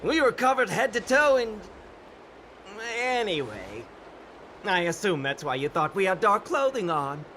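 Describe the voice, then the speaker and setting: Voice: mid-range voice, big pitch swings, a little slow, a little hiss or hum. An actor voicing an eager, talkative little companion in a video game, with formal, mock-dignified phrasing. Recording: loud music behind.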